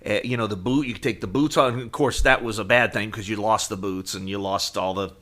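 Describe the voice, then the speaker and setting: A man's voice: on the low side, steady tone, brisk, fairly bright tone. A middle-aged man co-hosting a podcast in casual conversation. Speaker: podcast co-host. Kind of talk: casual chat.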